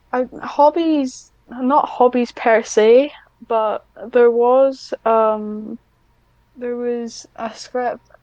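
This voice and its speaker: A woman's voice: fairly high pitch, darkish tone, no echo, dull audio. A woman chatting casually as a podcast guest, hesitant and self-deprecating, with a dry sarcastic streak. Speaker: podcast guest, ASMR script writer. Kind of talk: casual interview chat.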